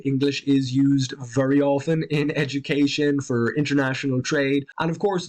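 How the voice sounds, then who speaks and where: A man with an Irish accent calmly explaining the night sky in a conversational, educational voice-over. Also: fairly high pitch. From Ireland. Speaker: astronomy presenter.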